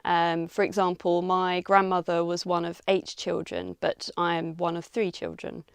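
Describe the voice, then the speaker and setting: A woman giving her opinion in a measured way, with frequent ums. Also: on the low side, vocal fry, clean tone, no room sound, studio quality. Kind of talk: casual spoken opinion.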